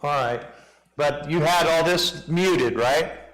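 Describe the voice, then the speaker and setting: A man with a loud, projecting voice reads psalms aloud into a pulpit mic while testing a church's sound system. His tone is bright and sharp, cutting clearly through each line. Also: on the high side, slow, low-quality recording. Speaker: scripture reader testing church microphones. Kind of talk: reading psalms aloud during a sound check. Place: church sanctuary. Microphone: pulpit and lapel mics.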